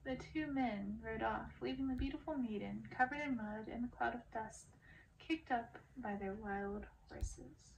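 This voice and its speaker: Creaky-voiced woman reading a storybook. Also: a little high, a little slow. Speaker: narrator reading a book aloud. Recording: distant mic, faint background noise.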